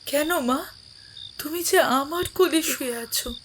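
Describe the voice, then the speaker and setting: A woman's voice: high voice, measured pace, a bit bright, slight background noise. An actress playing an anxious middle-aged mother in an audio drama, fretful and pleading. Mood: tender.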